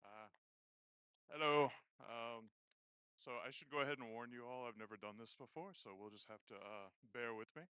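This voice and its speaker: A man with a low voice giving an informal, hesitant talk as a first-time speaker on a conference stage. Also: normal speed, sharp tone, clean tone, dry recording, clean studio sound. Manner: hesitant, self-effacing. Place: conference stage at live event. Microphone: handheld stage microphone.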